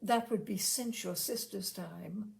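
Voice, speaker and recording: A woman's voice: mid-range voice, slow, vocal fry. A woman voicing a self-possessed fifteen-year-old girl from a storybook, with a poised, confiding, dramatic manner. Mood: poised, probing.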